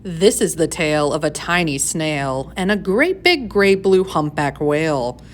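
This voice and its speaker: A woman reading a rhyming picture book aloud to schoolchildren in a measured, singsong way with dramatic emphasis. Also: sits low, lively intonation, slight background noise. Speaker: storybook reader for schoolchildren.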